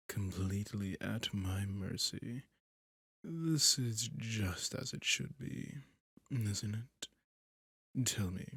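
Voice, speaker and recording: Low raspy vampire guy , a man putting it on, whispering slow and menacing, asmr roleplay, dry close sound. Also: dry recording, heavily acted. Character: possessive sadistic male vampire lover.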